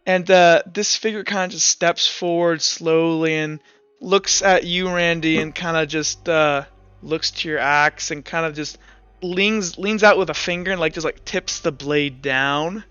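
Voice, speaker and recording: A man running a tabletop game as game master, narrating informally and haltingly. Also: on the high side, steady tone, bright, forceful tone, smooth, dry recording. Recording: slightly muffled with music behind.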